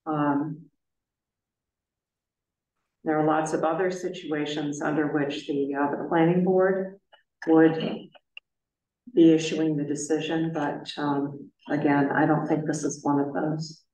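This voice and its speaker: A middle-aged woman chairing a town planning board meeting, speaking in a measured, careful way with many filler pauses. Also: deep voice, steady tone, mellow, recorded from a distance. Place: town office meeting room. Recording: echoey room, voice picked up from afar.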